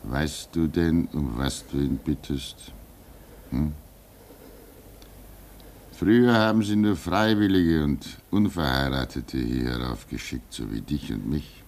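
An old, fatherly comrade who calms a panicking younger man in a vintage radio drama. His voice is very deep and gravelly, and his slow, soothing words sit over a faint hiss. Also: heavily acted. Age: older.

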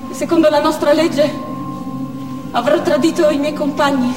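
A woman's voice: sits high, fairly even intonation, moderate pace, natural voice. An actress playing a desperate, defiant female Chechen militant in a declamatory theatrical monologue. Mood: anguished, urgent. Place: theatre stage, picked up from afar. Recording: distant echoey theatre hall with music.

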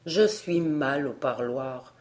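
A woman's voice: low-pitched, lively intonation, measured pace, mellow. A woman voicing a meek, polite male tutor in a storybook, speaking modestly and hesitantly. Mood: meek, ingratiating.

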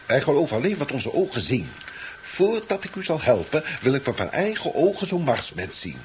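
An actor playing an unworldly, sceptical professor in a 1970s Dutch radio drama, earnest, formal and a little hesitant. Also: male voice, mid-range voice, big pitch swings, normal speed, natural voice. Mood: earnest, insistent. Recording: slightly noisy, dull 1970s radio recording.

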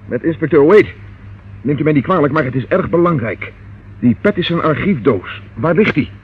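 An actor in a radio play playing a brisk, authoritative police inspector, businesslike and courteous. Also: male voice, on the high side, lively intonation, natural voice. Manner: businesslike, courteous but commanding. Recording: tinny, noisy old radio recording.

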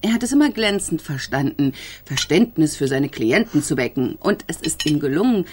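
Lowish-voiced, sceptical woman reporter. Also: very animated, moderate pace, a little mellow, slightly rough, heavily acted. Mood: dry, needling. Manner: needling, amused, questioning tags. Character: shrewd, sceptical female court reporter. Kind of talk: naturalistic German radio crime drama acting.

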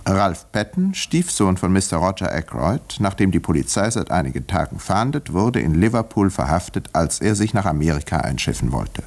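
Radio drama: composed, wry country doctor narrating a whodunit; low voice, lively intonation, educated delivery. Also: male voice, moderate pace, few pauses, exaggerated voice. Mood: measured narration. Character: village doctor, wry male narrator of a murder mystery. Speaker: narrator and character in radio play. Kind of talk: 1950s German radio drama, measured storytelling.

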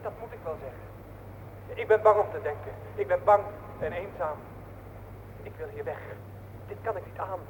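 An actor playing the frightened, lonely ghost of a young soldier, plaintive and strained in a radio drama. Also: male voice, sits high, moderate pace, long pauses, slight background noise, close to an everyday voice. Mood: afraid, pleading. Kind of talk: heightened radio drama acting. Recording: distant reverberant hall, tinny old radio.

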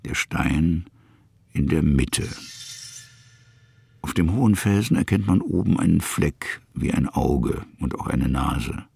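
An actor narrating a literary radio drama as a musing male storyteller, in measured, fragmentary phrases with a gravelly, husky voice. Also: very deep voice, a little mellow, dry recording, exaggerated voice.

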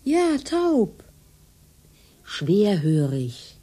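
Cool insistent lover, extremely breathy and husky, radio drama. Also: female voice, medium pitch, wildly swooping pitch, drawn-out words, warm tone, heavily acted. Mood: scolding sarcasm. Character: cool, insistent woman in a secret affair. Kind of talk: radio play acting.

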